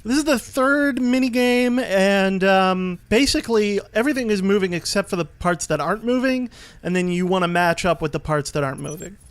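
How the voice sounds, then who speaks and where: A man giving casual, explanatory commentary while playing a video game, a bit halting as he goes. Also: sits high, animated. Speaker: let's play host and player.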